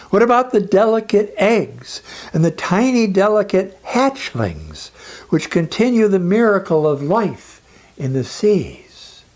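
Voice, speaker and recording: A man delivering scripted educational narration as a lecturer, in a deliberate, emphatic way with dramatic pauses. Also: on the high side, darkish tone.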